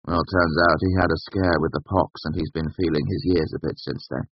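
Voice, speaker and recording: A man's voice: a bass voice, steady tone, fairly quick, highs cut off, slight background noise. A man voicing a mild, unassuming village innkeeper in an audiobook. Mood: confiding.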